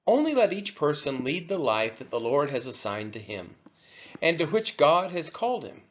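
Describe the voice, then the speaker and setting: Expressive man reading scripture, dull audio. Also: on the high side, normal speed. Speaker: scripture reader. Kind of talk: scripted read-aloud of scripture.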